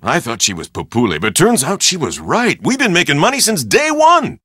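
An actor playing a brash, easygoing middle-aged Hawaiian surf shop dad in a video game, talking in colloquial, clipped phrases. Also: male voice, fairly high pitch, wildly swooping pitch, normal speed, sharp tone, breathy edge, no room sound, clean studio sound, full character voice. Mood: easygoing, upbeat. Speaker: game character: beach shop owner.

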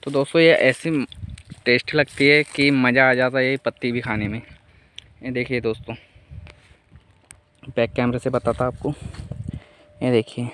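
A man's voice: mid-range voice, flat delivery, a little slow, long pauses, fairly bright tone, a little hiss or hum. A young man giving a chatty walking tour of his farm, talking to viewers through a phone held at arm's length outdoors. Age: young adult. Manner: chatty, addresses viewers as friends. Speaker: vlogger. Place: outdoors on a dry farm among trees.

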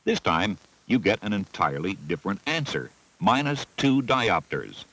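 An American man narrating a scripted instructional film in a clear, methodical, teacherly manner. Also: on the low side, sing-song, moderate pace, fairly bright tone, dry recording, poor audio. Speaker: narrator of a military training film. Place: voice-over recording.

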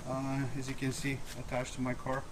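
A man giving an unscripted casual walkthrough as a vlogger, halting and hesitant, often pausing mid-sentence. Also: medium pitch, completely flat delivery. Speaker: vlogger showing his gear. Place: outdoors in a residential driveway. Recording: clear outdoor ambient noise.

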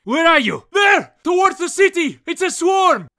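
An actor playing a tense, cynical survivor of a zombie apocalypse in a video game, urgent and terse. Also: male voice, extremely high pitch, very animated, a little slow, pushed and bright, full character voice. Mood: shouting alarm.

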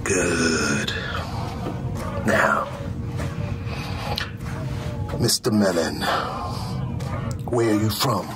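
A middle-aged American man playing a stern, mocking army drill sergeant, barking intimidating, deliberate lines in a comedic roleplay. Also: deep voice, expressive, loud music behind the voice, pitched below the performer's own voice, heavily acted. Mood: commanding. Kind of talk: comedic military ASMR roleplay.

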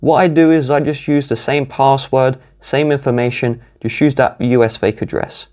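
A man's voice: medium pitch, fairly even intonation, normal speed, a little mellow. A man from the UK with a British accent, methodically narrating a step-by-step phone tutorial while filming the screens at a desk. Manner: instructive, methodical, walks through each tap. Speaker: tech tutorial host.

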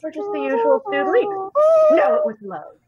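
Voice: very high-pitched, wildly swooping pitch, moderate pace, dull audio, heavy background noise, heavily acted. A performer playing an overwrought romance narrator, melodramatic, in a comic dub.

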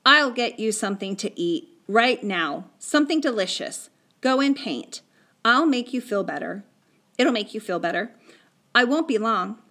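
A woman's voice: fairly high pitch, animated, moderate pace, a bit bright. A woman voicing an anxious, earnest young boy in an audiobook, with an urgent, insistent manner. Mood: soothing. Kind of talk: acted audiobook dialogue.

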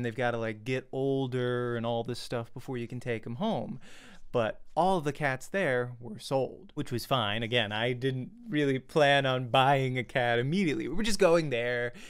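A young man chatting casually as a vlogger, telling a personal story in an animated way and acting out reactions, close on a desk mic at home. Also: medium pitch, moderate pace, clear, resonant tone. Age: young adult. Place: small home room. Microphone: desk studio mic with pop filter, close.

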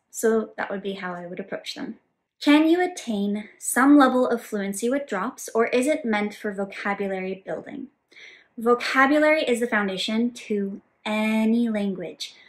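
Woman explaining language learning to camera. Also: on the high side. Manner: clear, explanatory, gestures with both hands. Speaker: resident polyglot answering viewer questions. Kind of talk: scripted Q&A to camera. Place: home office with bookshelves and world map, indoors.

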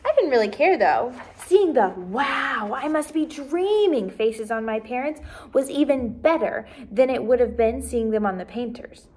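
Female teacher, twenties, expressive storybook read-aloud, lightly high-pitched. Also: moderate pace, hardly any pauses. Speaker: teacher reading aloud to first graders. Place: small decorated indoor room.

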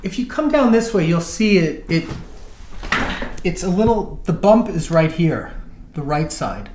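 A man's voice: high-pitched, very animated, measured pace, darkish tone. A middle-aged man working as a chiropractor, giving a patient short directions and explanations during hands-on treatment. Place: small indoor treatment room. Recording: echoey room with clear noise and clicks.

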